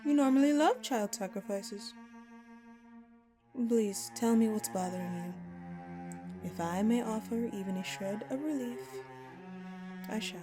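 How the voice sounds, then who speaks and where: A woman playing an ancient dark goddess in an audio roleplay, speaking to the listener in formal, grandiose, commanding words, ominous yet caring. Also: on the high side, unhurried, pauses often, lightly acted. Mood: concerned, reassuring. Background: background music.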